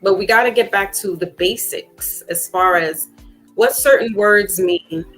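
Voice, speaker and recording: A woman's voice: medium pitch, a little slow, a bit bright, poor audio. Woman, podcast host, mid-range voice, casual and reflective, many fillers, faint music under her. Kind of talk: casual conversation.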